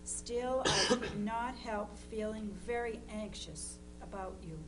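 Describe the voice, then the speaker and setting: A woman's voice: medium pitch, slow, lightly acted. A woman playing an anxious, devoted mother of Civil War soldiers, reading her letters plainly and carefully. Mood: worried, tender. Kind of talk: dramatized reading of historical letters. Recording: noisy live recording with hum.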